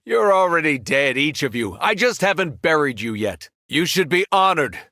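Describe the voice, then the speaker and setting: An actor playing a powerful, cruel superhero turned villain in a video game, arrogant and taunting. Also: male voice, a little high, big pitch swings, normal speed, bright, forceful tone, dry recording, studio quality, full character voice. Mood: cold threat. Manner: taunting, menacing, condescending.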